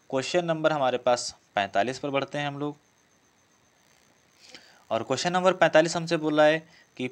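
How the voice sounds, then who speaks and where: A man teaching a test-prep lesson, working through questions didactically and repeating key phrases. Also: medium pitch. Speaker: exam coaching teacher.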